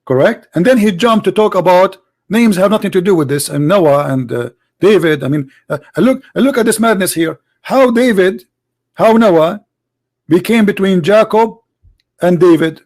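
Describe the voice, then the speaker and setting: Casting: high-pitched man, argumentative debate host, insistent. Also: animated, moderate pace. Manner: forceful, argumentative, repeats words. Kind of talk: heated debate.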